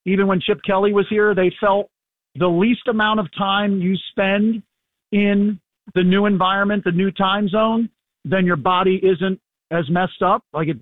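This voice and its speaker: A hoarse, fairly high-voiced man rambles easily over a tinny phone line. His dry, close sound and scratchy throat make it plain he is calling in from far away. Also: no room sound. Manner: rambling, easygoing, many fillers. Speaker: sports reporter calling in. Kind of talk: casual radio phone interview. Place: abroad, away from the studio. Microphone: phone call.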